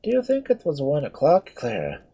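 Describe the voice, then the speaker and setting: A man voicing a portly, cheerful Irish friar with a brogue, loud and fussing. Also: on the high side, wildly swooping pitch, normal speed, slightly rough, voice pushed up, full character voice. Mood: fatherly coaxing. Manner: loud, bustling, fretful.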